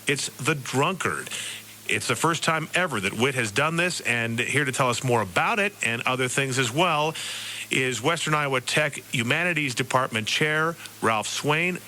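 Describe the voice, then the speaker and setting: An American man hosting a radio interview, asking questions in a slightly halting way. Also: medium pitch, lively intonation, sharp tone, a little hiss or hum, rough recording.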